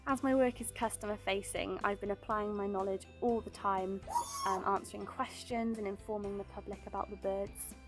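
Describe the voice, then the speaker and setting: Woman reading an upbeat testimonial, mid voice, quiet music behind. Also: mid-range voice. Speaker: bird of prey centre apprentice. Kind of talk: prepared testimonial.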